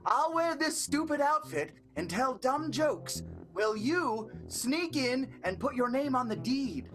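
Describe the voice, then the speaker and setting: A high-voiced, furtive schemer of a vaudeville comic acted by a woman, pitching corny gags with urgent, conspiratorial energy. The voice leaps up and down in an improvised radio play, with clear music behind it. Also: lively intonation, slow pace. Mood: gleeful, scheming.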